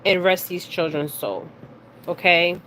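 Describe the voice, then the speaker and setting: Halting woman on a noisy recording. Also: lowish voice, animated, fairly bright tone. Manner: halting, stumbles over words, often checks in with "okay?". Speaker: spiritual reader and card reader hosting her own video. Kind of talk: casual psychic reading, reading news details aloud. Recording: clearly noisy recording with background ambience.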